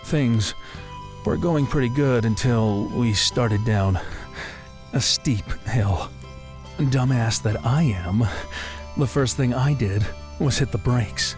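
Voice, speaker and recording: A man's voice: medium pitch, takes it slowly, a little mellow, natural voice. An actor playing a guarded, self-deprecating young American working-class man in an audio drama, with a slangy, laconic way of talking. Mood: wry storytelling. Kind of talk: naturalistic audio drama dialogue. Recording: music playing behind the voice.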